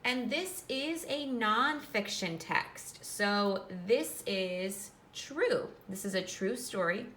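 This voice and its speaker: A woman's voice: medium pitch, animated, slow pace, a bit bright, slight background noise. A woman teacher reading a nonfiction book aloud for children, instructive and explaining things in simple terms.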